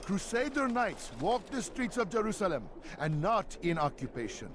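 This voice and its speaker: A man's voice: high-pitched, sing-song, normal speed, noisy background. A man playing a zealous orator in a video game, formal and declamatory. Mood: fervent. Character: zealous male orator and scheming plotter. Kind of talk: video game character acting. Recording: loud music under the voice.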